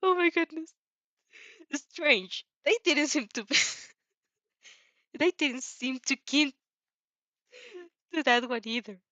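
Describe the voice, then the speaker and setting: A giggly, excitable woman reading fan fiction aloud and breaking off for casual comments. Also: high-pitched, slow pace, long pauses, dry recording. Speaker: channel host and fanfic reader.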